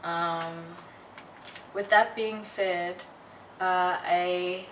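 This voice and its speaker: Young female vlogger, unhurried and reflective, candid casual chat, slightly noisy and distant room recording. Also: fairly low pitch, fairly even intonation. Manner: candid, halting and reflective with slangy asides. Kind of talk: casual personal chat to viewers. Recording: roomy, distant mic, faint noise.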